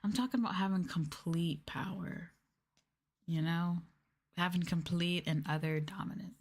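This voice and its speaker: A woman talking in a soft, breathy voice that often drops to whispers, playful and teasing, chatting casually as an ASMR host close to the mic. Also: lowish voice. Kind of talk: soft-spoken ASMR, casual chat with viewers. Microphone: sensitive mic up close.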